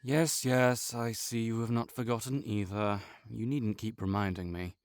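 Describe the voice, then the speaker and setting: A man playing a sickly, proud and melancholy nobleman in an intimate audio roleplay, speaking formally with measured, old-fashioned phrasing. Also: fairly low pitch, fairly even intonation, dry recording, natural voice. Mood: dry, mildly testy.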